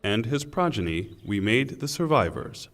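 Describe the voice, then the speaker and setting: A man's voice: mid-range voice, sing-song, fairly bright tone. Solemn male scripture reader in reverberant space. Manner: measured, deliberate phrasing. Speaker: translation reader. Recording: long hall reverb.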